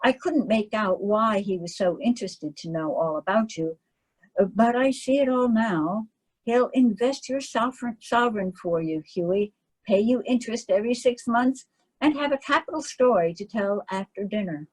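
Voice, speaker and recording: Jovial, teasing gentleman, a woman reading him aloud, breathy storybook voice. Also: mid-range voice, animated, moderate pace, rough recording. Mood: amused. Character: amused, worldly young gentleman painter.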